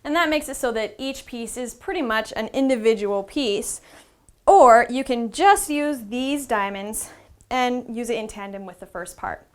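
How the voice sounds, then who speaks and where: A woman with a somewhat high voice gives a how-to demonstration. She explains each step informally, like an instructor talking a learner through a task. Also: animated, a little slow. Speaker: instructor presenting a how-to series.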